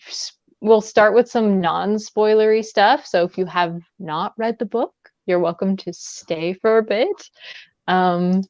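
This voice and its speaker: A woman's voice: mid-range voice, measured pace, a little mellow, dry recording. A woman hosting a casual livestream book chat in a chatty, informal way. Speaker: livestream host. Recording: dull, lo-fi livestream audio.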